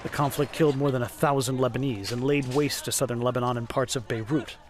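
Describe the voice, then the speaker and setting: A man's voice: mid-range voice, steady tone, fast talker, over music. A man narrating a scripted news explainer as a correspondent.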